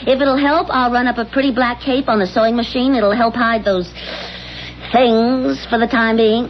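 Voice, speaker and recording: An actress playing a bossy, coaxing middle-aged wife, insistent and scheming, in a 1970s radio drama. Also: female voice, on the high side, fairly even intonation, moderate pace, a little hiss or hum, close to an everyday voice. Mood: coaxing, pushy. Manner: insistent, pushy. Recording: muffled old radio broadcast, faint music.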